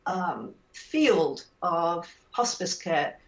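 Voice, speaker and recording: A woman's voice: fairly low pitch, lively intonation. A woman from England in her sixties with an English accent, a guest speaking thoughtfully on a video call from her home. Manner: thoughtful, measured, with frequent um fillers. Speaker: guest author. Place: home room with bookshelves. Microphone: video call webcam. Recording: echoey room, voice a bit far from the mic.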